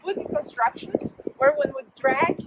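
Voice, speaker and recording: Woman guide, lively high voice. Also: creaky. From Norway. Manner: halting, many filler sounds, loose grammar. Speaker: tour guide. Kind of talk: guided walking tour talk. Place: outdoors at a city harbor. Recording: loud outdoor street noise.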